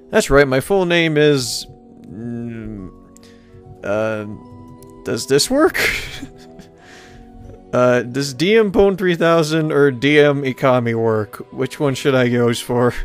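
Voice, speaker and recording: Animated man doing playful game commentary. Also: medium pitch. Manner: playful, rambling, reacting aloud to the game. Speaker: let's play host. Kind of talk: casual game commentary mixed with reading aloud.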